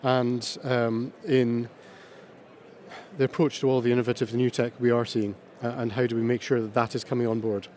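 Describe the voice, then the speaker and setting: A man speaking at an awards panel, halting and full of ums and pauses. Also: medium pitch, level tone, darkish tone, slight background noise. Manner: halting, many ums and uhs, slow pauses. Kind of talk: hesitant conference talk.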